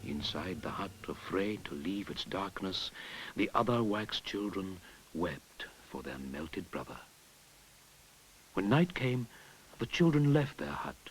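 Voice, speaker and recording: A man narrating a folktale in an expressive storytelling manner, sometimes dropping to a whisper. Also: low-pitched, a little hiss or hum, low-quality recording. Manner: expressive storytelling, drops to a whisper at hushed moments. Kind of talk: storybook narration over music. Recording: narration with clear music behind.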